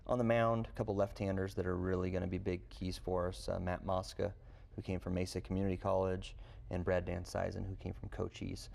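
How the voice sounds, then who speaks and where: A male coach speaks casually as an interview guest. His voice is deep and gravelly with lots of fry, and his delivery stays fairly even. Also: brisk. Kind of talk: casual sports interview answers. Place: TV studio.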